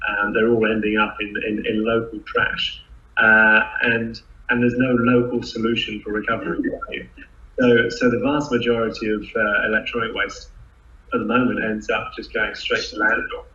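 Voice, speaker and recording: A man who founded a startup answers interview questions, restarting sentences often and filling pauses with "uh" and "um". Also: fairly low pitch, little pitch movement, pushed and bright. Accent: non-New Zealand, foreign-born. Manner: halting, many restarts and fillers. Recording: echoey, dull lo-fi audio.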